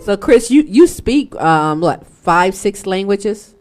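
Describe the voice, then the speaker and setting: Slow-speaking radio host, laughing often. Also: medium pitch, big pitch swings, soft background music. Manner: laughs easily, short reactions. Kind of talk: casual interview chat.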